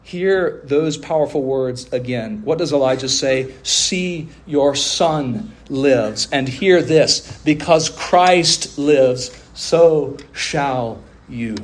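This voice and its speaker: A man delivering a sermon as a preacher, with emphatic oratory, deliberate pauses, drawn-out phrases and rhetorical refrains. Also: medium pitch. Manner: rhetorical questions and repeated refrains.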